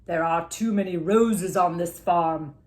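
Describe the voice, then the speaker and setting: A woman voicing an assured male doctor from a picture book, with emphatic, knowing, drawn-out pronouncements. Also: on the low side, voice pushed down, natural voice.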